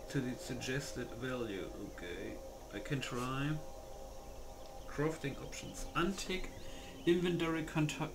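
A man's voice: fairly high pitch, noisy background. A man giving casual commentary while setting up a game, muttering to himself and reading settings aloud in clipped phrases. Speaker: gaming video host. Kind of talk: casual gameplay setup commentary. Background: music.

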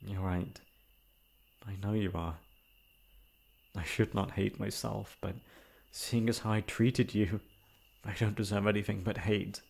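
A man playing a remorseful, self-loathing young prince in a formal, halting audio roleplay monologue. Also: on the low side, level tone, vocal fry, a little mellow. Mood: ashamed, self-loathing. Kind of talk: dramatic ASMR roleplay monologue.

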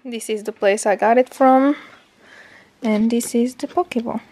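A young woman vlogger with a Portuguese accent talks in an unhurried, drawn-out way, her voice sitting a little high. She chats to a camera held at arm's length and drifts from one thing to the next. A faint hiss of room noise sits under her voice. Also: fairly even intonation, a little mellow. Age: young adult. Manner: chatty, unhurried, easily sidetracked. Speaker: travel vlogger. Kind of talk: casual vlog chat. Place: hotel room and home living room. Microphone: handheld camera at arm's length.

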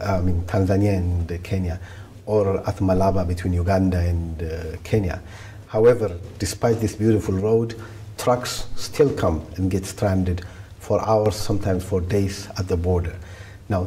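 Deep-voiced seated man in a studio. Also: slight background noise. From Ethiopia. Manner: deliberate, steady, with repeated restarts. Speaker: development bank regional director, guest. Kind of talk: measured policy interview answers. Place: indoor studio set, seated in wicker chair. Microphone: lapel mic.

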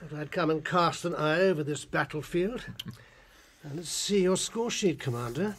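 High-voiced, genial club gentleman. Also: moderate pace, breathy edge. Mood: genial, concerned. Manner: polite, clubby, firm. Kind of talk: radio drama acting.

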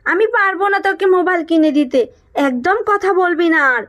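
A woman's voice: extremely high pitch, expressive, fairly quick, a bit bright. A woman voicing a careworn, caring but scolding animal mother in a children's cartoon, with a fussing, motherly manner. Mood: exasperated, scolding. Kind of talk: Bengali children's cartoon voice acting.

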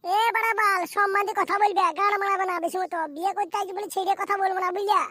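Squeaky, bright, cheeky cartoon bridegroom. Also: little pitch movement, racing, no echo. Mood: defiant. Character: cheeky, foul-mouthed young blind bridegroom in a comedy cartoon. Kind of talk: slapstick comedy cartoon dialogue.

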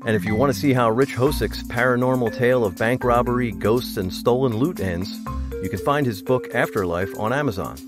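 A man's voice: medium pitch, brisk. A man hosting a podcast, reading a scripted sign-off to his listeners. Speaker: podcast host. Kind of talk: scripted podcast outro narration. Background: marimba music bed.

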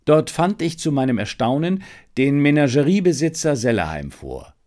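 Expressive male audiobook narrator. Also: fairly high pitch, moderate pace. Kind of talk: scripted narration of a detective story.

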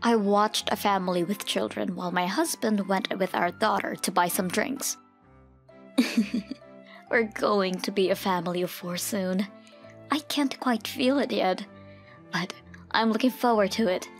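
A woman narrates a story in the first person from a script. Her pitch is middling and her manner earnest. Soft music runs underneath. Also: measured pace.

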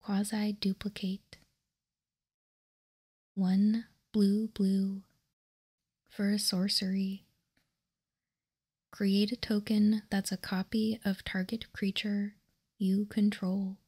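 A woman whispering softly and breathily in an ASMR style, reading aloud in a hushed, deliberate way close to the microphone. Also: medium pitch, little pitch movement. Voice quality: soft unvoiced whisper, breathy. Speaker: ASMR host.

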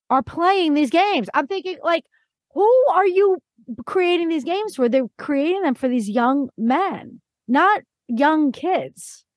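A middle-aged woman chatting casually as a podcast host, with an animated, chatty manner. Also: sits high, dry recording, clean studio sound. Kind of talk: casual interview chat.

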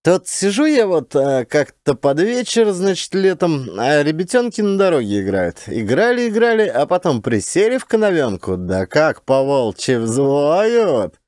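A narrator puts on a mocking village coachman, a reckless male prankster from an audio drama. His pitch jumps up and swings about as he teases in drawling, folksy peasant talk. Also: big pitch swings, unhurried, few pauses, a little mellow, dry recording, clean studio sound. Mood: teasing. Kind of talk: Russian audiobook character acting.

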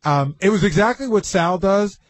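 A man's voice: high-pitched, moderate pace. A man who works on a radio show, telling anecdotes casually and acting out who said what. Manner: animated storyteller who acts out dialogue.

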